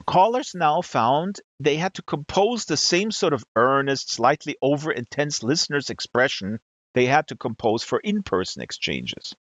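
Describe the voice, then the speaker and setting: High-pitched, animated man reading aloud. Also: normal speed, no room sound. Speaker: reader of literary excerpts. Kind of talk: reading a satirical novel aloud.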